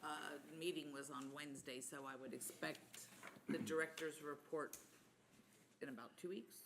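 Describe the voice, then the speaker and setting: A man's voice: a little high, fairly even intonation, normal speed. A man on a borough council, speaking plainly and directly during a meeting. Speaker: council member. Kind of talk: casual meeting discussion. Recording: noisy meeting room audio.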